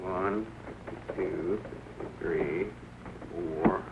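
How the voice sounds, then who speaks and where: An actor playing a shrewd, folksy country storekeeper with a drawling Southern accent in a 1940s comedy film. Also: male voice, low voice, fairly even intonation, extremely slow, full character voice. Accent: rural Southern US. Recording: tinny, noisy 1940 film soundtrack.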